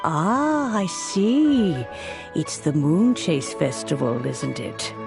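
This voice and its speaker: Wise old granny master, slow and lowish, gently amused, game dialogue over music. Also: female voice, very animated, creaky, full character voice. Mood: serene, amused. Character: kindly, serene old woman, wise master. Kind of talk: video game voice acting.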